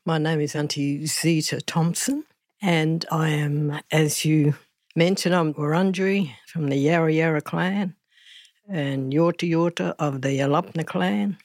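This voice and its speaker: An older Australian woman, a community elder, telling her life story slowly and reflectively. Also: low voice, steady tone, darkish tone, dry recording, studio quality. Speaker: guest elder.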